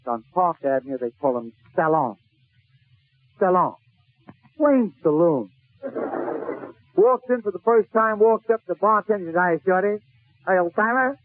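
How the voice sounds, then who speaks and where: A male comedian telling funny stories in a 1940s radio style, with theatrical, short, punchy phrases. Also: sits high. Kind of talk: 1940s radio comic storytelling. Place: radio broadcast. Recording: tinny, narrow-band 1940s radio recording.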